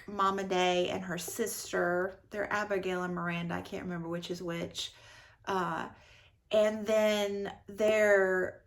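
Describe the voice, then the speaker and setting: Woman, book vlogger, creaky voice, slow-paced, casual unscripted chat, enthusiastic. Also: mid-range voice. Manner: enthusiastic, conversational, gushing about books. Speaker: book vlogger reviewing her recent reads.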